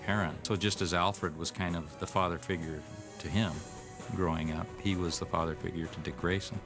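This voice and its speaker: A plain-spoken, somewhat halting man, a series creator, talking casually in a documentary interview. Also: sits low, steady tone, over music.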